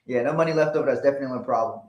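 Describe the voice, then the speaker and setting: A man's voice: medium pitch, very fast, echoey room, highs cut off. Man with a mixed West African accent. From Liberia, West Africa. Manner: explanatory, repeats and restarts phrases. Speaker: co-host. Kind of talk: casual financial advice chat.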